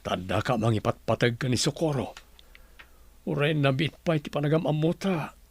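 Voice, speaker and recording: A man's voice: medium pitch, animated, moderate pace, breathy edge. A man playing a courteous, devoted and worried middle-aged husband in an Ilocano radio drama. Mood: sorrowful, worried.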